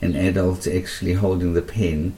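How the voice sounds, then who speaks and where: A man with a South African accent, speaking as a radio interview guest in an earnest, halting way with many pauses and ums. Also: deep voice, flat delivery, mellow, a little hiss or hum.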